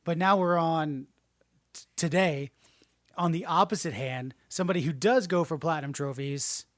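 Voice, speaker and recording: A man's voice: fairly high pitch, normal speed, fairly bright tone, no room sound, studio quality. A man co-hosting a gaming podcast, chatting casually, rambling and swearing freely. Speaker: podcast co-host.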